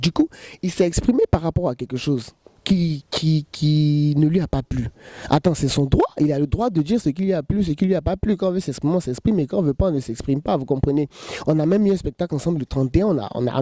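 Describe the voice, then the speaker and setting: A man in his thirties, a choreographer, answering interview questions in a relaxed, joking way and saying "voilà" often. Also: on the high side, fast talker, no room sound. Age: 30s.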